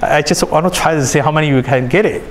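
A man whose native language is Chinese, giving a university lecture in a large hall, animated and often repeating words as he restarts sentences. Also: on the high side, fast, slight background noise. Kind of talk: classroom lecture.

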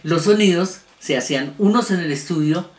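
A man's voice: a little high, moderate pace, a little mellow. A man, a veteran radio actor reminiscing in an interview. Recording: roomy, faint background noise.

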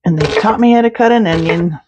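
A woman narrating a home cooking video in her kitchen, talking through each step in a relaxed way with small fillers. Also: lowish voice, a little fast, noisy background. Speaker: home cook, channel host.

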